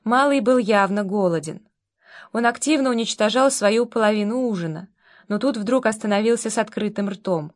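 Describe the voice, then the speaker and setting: A woman narrating a novel as an audiobook reader, in a measured, wry storytelling manner. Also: mid-range voice.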